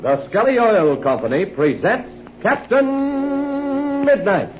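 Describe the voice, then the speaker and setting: A man announcing a 1940s radio commercial in a chummy, persuasive sales pitch. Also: very animated, slow, music in the background. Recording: dull old 1940s radio recording.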